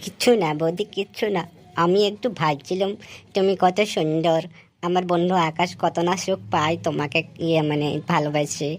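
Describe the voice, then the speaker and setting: A woman's voice: fairly low pitch, fairly even intonation, moderate pace, lightly acted. Crude cartoon young man, played by a woman: leering, slangy, colloquial, comic. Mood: scheming, leering. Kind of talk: comic Bengali cartoon dialogue.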